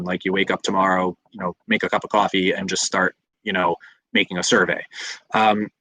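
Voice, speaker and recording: A man's voice: on the low side, a bit bright, dry recording, poor audio. A man in his thirties, a doctor in training, answering viewer questions in a live session, explaining at length with frequent fillers and restarts. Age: 30s. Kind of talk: live Q&A talk.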